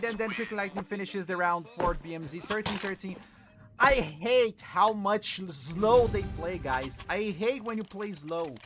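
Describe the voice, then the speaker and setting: A Brazilian man with a high, keyed-up voice calls a shooter match live in accented English, scolding players and greeting his chat. Loud game gunfire and clicks pound away behind him the whole time. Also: noisy background. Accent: Brazilian Portuguese. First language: Portuguese. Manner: excitable, scolds players, chats with viewers. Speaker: esports streamer commentator.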